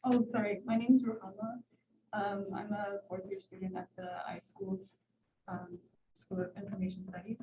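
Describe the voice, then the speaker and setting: A woman's voice: mid-range voice, level tone, normal speed, a crowd in the background. Young woman speaking from a far, echoey room. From Ethiopia. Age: 20s. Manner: hesitant, many fillers and restarts. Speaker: student audience member. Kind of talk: Q&A question from the floor. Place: university lecture room. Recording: roomy hall, far from the mic.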